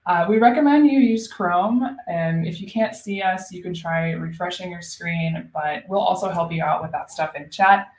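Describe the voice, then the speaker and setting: A woman's voice: on the low side, moderate pace, hardly any pauses, darkish tone, reverberant. A woman hosting a live online webinar as a solutions engineer, chatty and upbeat with frequent ums.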